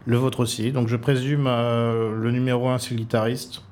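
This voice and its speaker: A man's voice: mid-range voice, level tone, normal speed, darkish tone. A man with a French accent hosting a casual radio interview, jokey and hesitant in his delivery. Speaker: radio show host. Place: radio studio with guests. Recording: clear background noise in radio studio.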